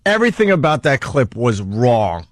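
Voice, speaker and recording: A man's voice: mid-range voice, sing-song, moderate pace, no echo. A man hosting a radio talk show, bantering casually about sports in an emphatic way.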